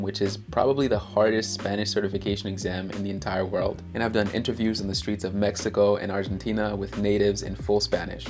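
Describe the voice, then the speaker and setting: A young man from Haiti whose first language is Haitian Creole, telling his own story in an earnest, upbeat scripted narration. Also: fairly low pitch. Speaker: language-learning YouTuber.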